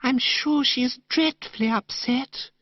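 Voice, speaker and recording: Casting: a male reader putting on a dreamy, whimsical young woman, high-pitched, breathy and drawn out. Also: dull audio. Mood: breathless. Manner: breathy, sighing, drawn-out gushing. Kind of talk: audiobook character voice.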